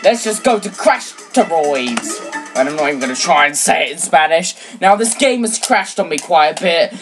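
Chatty teen girl over loud game music. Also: low voice, normal speed. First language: French. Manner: chatty, excitable, rambling with sudden shouts. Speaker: let's play gamer. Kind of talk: casual game commentary.

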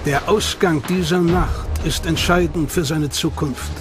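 Higher-pitched male documentary narrator. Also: fairly even intonation, measured pace, music in the background.

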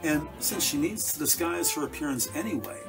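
A man's voice: fairly high pitch, fairly quick, gravelly, rough recording. A man reading scripted narration for a detective audio drama. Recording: music under the voice. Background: dramatic score music.

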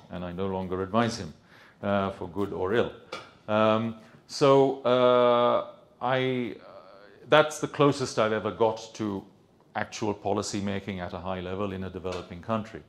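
A man in his fifties with a British accent, giving a conference lecture into a handheld mic, relaxed and hesitant with many ums and restarts. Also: lowish voice, moderate pace, creaky. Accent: British English. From Sri Lanka. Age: 50s. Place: conference room with projector screen. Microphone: handheld microphone.

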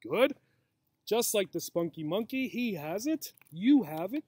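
A man's voice: sits high, big pitch swings, unhurried, a little mellow, husky, no room sound. A man in his forties reading a picture book aloud as a teacher, with simple, encouraging talk for young children. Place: outdoors in a grassy yard. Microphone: camera or laptop mic at about arm's length.

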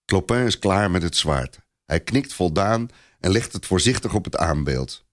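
A man's voice: low voice, expressive, moderate pace. A man narrating a scripted story expressively, as a storyteller.

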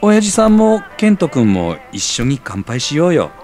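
An actor playing a mild, good-natured, slightly bashful bachelor in a scripted audio drama. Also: male voice, fairly high pitch, wildly swooping pitch, moderate pace, a little mellow, soft background music. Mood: friendly.

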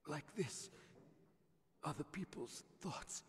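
An actor playing a dying, misanthropic psychic villain in a video game, with weary, halting, strained and breathy delivery. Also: male voice, on the high side, animated. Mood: weary, pleading. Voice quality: breathy, strained, creaky whisper. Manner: slow, halting, theatrical delivery. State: dying, weakened. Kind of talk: video game cutscene acting. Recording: long reverb, hissy noise.

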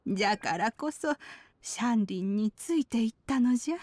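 A woman voicing a wise, gentle elderly woman in a Japanese game, speaking in a measured, old-fashioned elder manner. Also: fairly high pitch, animated, no room sound, lightly acted. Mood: gentle, reassuring.

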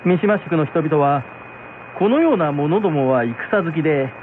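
Lively male folktale narrator. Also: a little high, moderate pace, slight background noise. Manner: polite traditional storytelling delivery. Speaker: storyteller, narrator. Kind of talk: scripted folktale narration for radio. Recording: tinny radio broadcast, music behind.

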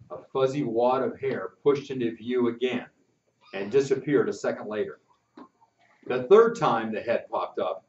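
A man's voice: medium pitch, a little mellow, slight background noise. Older man reading a storybook aloud. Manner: folksy first-person narration. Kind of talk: reading a children's book aloud. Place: classroom with posters, indoors. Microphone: far camera. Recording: roomy and distant, muffled.

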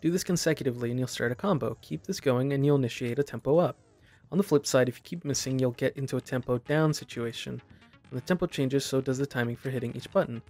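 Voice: medium pitch, fast talker. A gamer giving casual, self-deprecating playthrough commentary and reading on-screen game text aloud. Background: loud game music.

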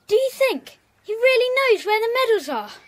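Excitable child for a children's radio play, extremely high, urgent, dry sound. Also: female voice, moderate pace, dry recording. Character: excitable schoolchild in a secret club. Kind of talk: children's audio drama acting.

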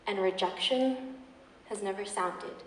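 A young woman reciting slam poetry on stage with a fierce, emphatic, rhythmic delivery and dramatic pauses. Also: medium pitch, slight background noise. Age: young adult. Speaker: slam poet performer. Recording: echoey room, distant microphone.

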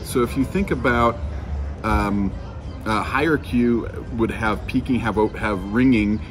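A man in his thirties giving an informal technical explanation as an engineer being interviewed, halting as he thinks aloud. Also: on the low side, soft background music. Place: outdoors under a covered walkway.

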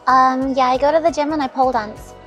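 Young woman, kinda high voice, chatty n open, casual interview w faint music behind. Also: steady tone, fast, a bit bright, dull audio. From Caribbean, later England. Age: 20s. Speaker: interview guest. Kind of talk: casual chat over afternoon tea. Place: spacious hotel lounge restaurant, indoors. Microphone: small recorder or phone close across the table.

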